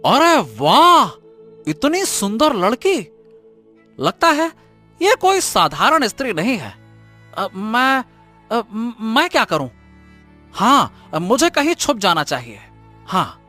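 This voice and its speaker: A dramatic young exiled prince from a cartoon tale, acted by a woman, high and bright in tone. He is naive and excitable, stretching out and repeating his exclamations over background music. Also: slow, long pauses, heavily acted. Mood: flustered. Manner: theatrical, hesitant, exclaiming with repeated words. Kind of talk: cartoon story voice acting.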